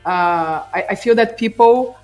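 A woman's voice: fairly high pitch, measured pace, darkish tone, muffled recording, soft background music. A woman in her forties hosting a podcast interview, speaking eagerly with slightly unusual phrasing into a studio mic with a pop filter. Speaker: podcast host. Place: home studio, indoors. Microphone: studio mic with pop filter and headphones.

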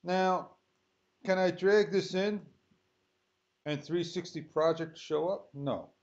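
Male tutorial host, unhurried and halting, thinking aloud step by step; muffled, low-fidelity audio. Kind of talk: unscripted screen-recorded tutorial. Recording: dull lo-fi sound.

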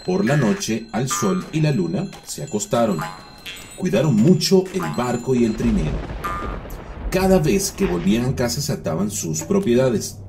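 A man's voice: medium pitch, big pitch swings, unhurried, creaky. A man narrating a children's folktale from a script in a storytelling style. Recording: music bed behind the voice.

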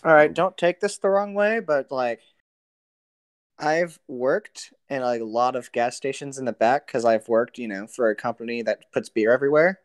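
A man's voice: mid-range voice, moderate pace, no room sound. Male co-host, mid-range voice, loose slangy banter, dry recording. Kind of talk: casual joking chat.

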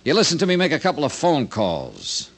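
A man's voice: on the high side, wildly swooping pitch, brisk, gravelly, exaggerated voice. An actor playing a tough, world-weary insurance investigator who narrates his own case in a hard-boiled 1950s radio drama. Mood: probing, terse. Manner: hard-boiled, clipped dialogue and brooding narration. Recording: old radio recording with background music.

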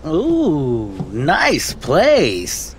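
An actor playing a lazy, cowardly young man in a video game, with a whiny drawl that jumps into sudden excitement. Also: fairly high pitch, a little hiss or hum, heavily acted.

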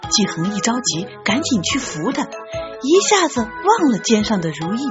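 A woman narrating an audiobook story in an expressive, scripted storytelling style. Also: on the high side, normal speed, muffled recording. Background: background music.

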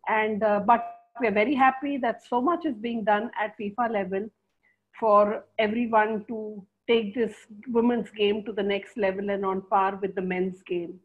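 A woman's voice: medium pitch, fairly even intonation. Polite woman moderator on a tinny line. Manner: polite, gushing thanks, halting. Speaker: webinar moderator. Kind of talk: moderating a webinar. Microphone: headset. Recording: tinny phone-like video call.

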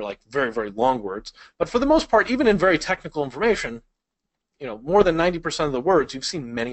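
Man, 30s, casual online tutor, middle-pitched, loose and chatty delivery with fillers, headset mic. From Chicago, United States. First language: Polish. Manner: casual, conversational teaching with frequent fillers. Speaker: speed reading instructor. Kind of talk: online video lesson.

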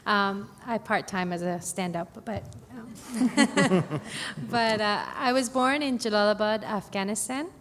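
A woman from Brooklyn recounting her life in an oral history interview, hesitant with many pauses and fillers. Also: medium pitch, fairly bright tone. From Brooklyn, New York. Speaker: oral history narrator on a panel. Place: conference hall. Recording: echoey hall, faint background noise.

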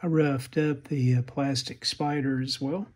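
Unhurried, flat-toned older man. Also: mid-range voice, breathy edge. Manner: matter-of-fact, halting and unhurried. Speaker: vintage radio repair hobbyist, channel host. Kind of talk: casual hands-on repair narration.

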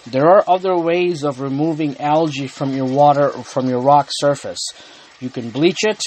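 A man's voice: fairly high pitch, fairly even intonation, darkish tone. Man explaining his hobby tank setup. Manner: unhurried, frequent ums and restarts. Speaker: aquarium hobby channel host. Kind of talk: casual explanatory talk to camera. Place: indoor home room with the aquarium.

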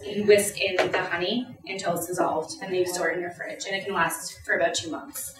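A woman's voice: fairly low pitch, steady tone, a little fast, distant mic. A young woman talks a class through a simple recipe, plainly and practically. She is heard from across an echoey lecture room. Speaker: student presenter. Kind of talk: reading a class presentation. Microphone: far camera.

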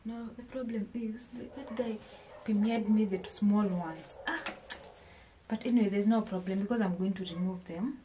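A woman's voice: medium pitch, steady tone, moderate pace, warm tone, a little hiss or hum. A young woman with a Kenyan accent chatting to her viewers in a casual haul vlog, asking them questions and often restarting her phrases, recorded in a small home kitchen on a camera at arm's length. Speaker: vlogger.

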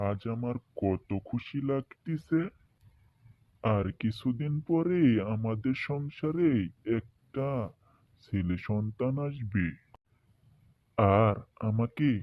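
A man's voice: lowish voice, takes it slowly, long pauses, no room sound, narrow, tinny audio, pitched below the performer's own voice. A man voicing a stern village husband in a cartoon tale, emphatic and scolding as he demands a son. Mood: hopeful, insistent. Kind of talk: cartoon fairy-tale voice acting.

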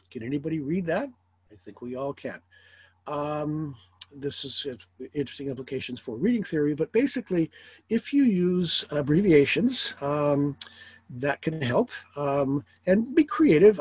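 A man's voice: fairly high pitch, husky, no echo. An older man, a college professor, giving a rambling recorded lecture over slides from his home study on a webcam mic, with dry asides.

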